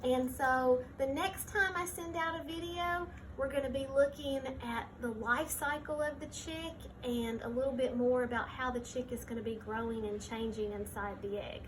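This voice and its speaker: A woman teacher reading a picture book aloud to young children, pausing to explain things simply and point them out. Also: sits high, steady tone, a little hiss or hum.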